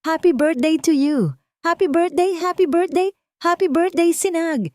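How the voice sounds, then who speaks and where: A woman voicing a tender, emotional housemaid in an audio drama, with a soft, trembling, affectionate manner and a Filipino lilt. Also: very high voice, moderate pace, dry recording, studio quality, pitched above the performer's own voice. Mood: emotional singing. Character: tender, emotional young housemaid devoted to a child.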